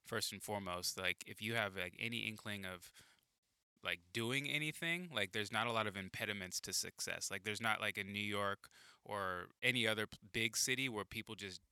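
A man hosting a casual, talkative conversation outdoors in a backyard, speaking in an animated way with many fillers. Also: fairly low pitch, normal speed, clear, resonant tone, no room sound, studio quality.

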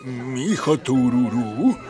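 A man's voice: medium pitch, animated, recorded from a distance, over music. An actor playing a pompous, aggrieved emperor and father in a scripted radio drama, speaking in a theatrical, grandiose way. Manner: theatrical, drawn-out, grandiose speech.

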